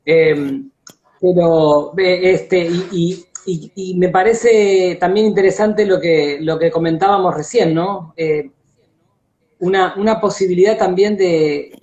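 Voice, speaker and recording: A lo-fi, slightly muffled live-stream recording of an Argentine man hosting a casual interview. He talks with enthusiasm but haltingly, circling back and repeating words as he works out each thought. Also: high voice, darkish tone. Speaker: interview host. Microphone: video call. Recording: dull lo-fi live-stream audio.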